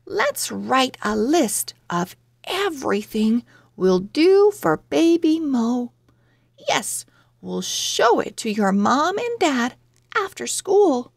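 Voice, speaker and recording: A woman's voice: high voice, very animated, takes it slowly, creaky, dry recording, studio quality, full character voice. A woman voicing an eager, bubbly young girl owl from a children's storybook, with emphatic delivery. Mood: eager, excited.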